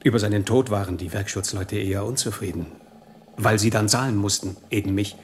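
A compromised official in a radio play, acted by a man, with a fairly low voice. He sounds defensive and uneasy, and there is some ambient noise behind him. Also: fairly even intonation, a little fast, natural voice. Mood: resigned, uneasy. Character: cornered, corruptible male official, uneasy and defensive. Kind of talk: radio drama dialogue.

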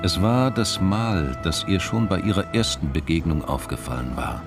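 An actor as the narrator of a crime radio play, reading literary prose in a measured, descriptive way. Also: male voice, deep voice, expressive, over music. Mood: measured, intense. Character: male narrator of a crime story.

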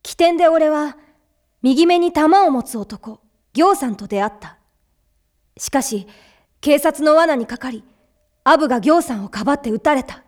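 A woman voicing a brash, hot-headed young boy hero in anime, with rough boyish speech. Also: high voice, expressive, moderate pace, pauses often, darkish tone. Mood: earnest, recounting. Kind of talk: anime voice acting and narration.

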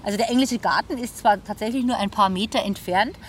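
A woman's voice: mid-range voice, fast talker. A woman giving conversational, musing commentary while standing outdoors at a site. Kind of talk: spoken commentary on location. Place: outdoors in a city park area. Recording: faint outdoor background noise.

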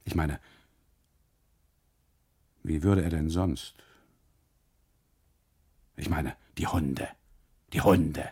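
A man performing a scripted literary radio monologue as a first-person narrator, with dramatic, deliberate phrasing. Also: very deep voice, warm tone, husky. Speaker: actor narrating as a photographer husband.

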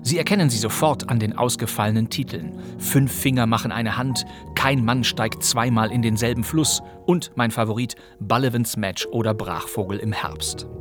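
A man's voice: medium pitch, moderate pace, over music. A man presenting as a podcast host, introducing a radio play from a script with articulate, showman-like phrasing.

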